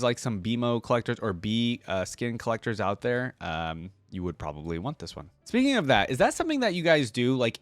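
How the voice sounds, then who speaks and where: A man's voice: medium pitch, no echo. A man hosting a casual reaction video, talking informally and thinking aloud as he comments. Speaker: video creator and host.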